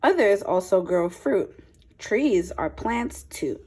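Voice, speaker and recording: Woman, teacher, children's read-aloud, animated pitch, unhurried pace, simple explanatory asides. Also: slight background noise. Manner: expressive, deliberate phrasing for children.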